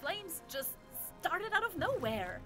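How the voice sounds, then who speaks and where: Woman acting a very high, panicky sailor girl. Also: big pitch swings, slow, over music, full character voice. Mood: panicked. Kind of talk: fantasy audio drama acting.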